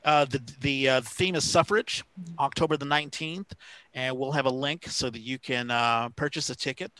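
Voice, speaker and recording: A man co-hosting a casual talk show, reading out shout-outs and announcements in a halting way as he searches for details. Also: medium pitch, fairly even intonation, clear, resonant tone, no room sound. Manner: halting, pausing to find names and details. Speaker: podcast co-host.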